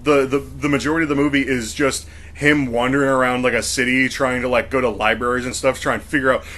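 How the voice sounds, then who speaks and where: A man's voice: mid-range voice, little pitch movement, fast, a bit bright. A man co-hosting a podcast in casual group discussion, talking in a rambling, hesitant way. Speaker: podcast co-host.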